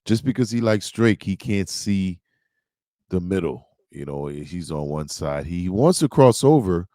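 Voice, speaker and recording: Very deep, dark-voiced opinionated man. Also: animated, measured pace, no echo, clean studio sound. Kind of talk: casual opinionated commentary.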